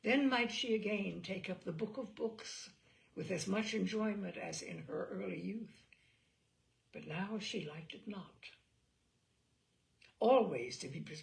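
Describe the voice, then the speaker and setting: Expressive woman reading classic fiction, creaky edge. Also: medium pitch, low-quality recording. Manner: expressive, measured storytelling with deliberate pauses. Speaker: audiobook narrator. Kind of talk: literary novel reading, scripted narration.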